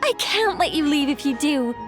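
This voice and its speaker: Eloquent, serene angel, higher-pitched. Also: female voice, lively intonation, over music. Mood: playful. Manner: eloquent, measured, gently teasing. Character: serene, playful female angel storyteller, ancient and wry. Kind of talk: video game character acting, storybook narration.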